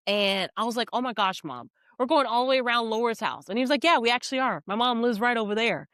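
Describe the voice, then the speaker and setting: A woman with a Texan accent and a slightly high voice that moves up and down a lot. She tells stories casually and acts out quotes as a podcast co-host. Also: fast, no room sound, clean studio sound. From Texas, United States. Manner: animated storytelling, quoting people in dialogue. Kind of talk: casual chat.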